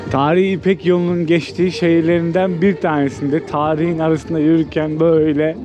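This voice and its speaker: A man's voice: on the high side, dark tone. A man chatting casually and enthusiastically to viewers as a travel vlogger walking outdoors. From Turkey. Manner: informal, enthusiastic, conversational. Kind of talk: casual chat to camera while walking around. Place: walking around an old town outdoors. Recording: clear background noise, outdoor street ambience.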